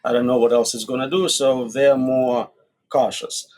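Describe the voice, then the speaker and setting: A man's voice: medium pitch, level tone, normal speed, a little mellow. A man answering as an expert guest in an interview, explaining in short, plain statements with examples. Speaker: interview guest, AI safety researcher.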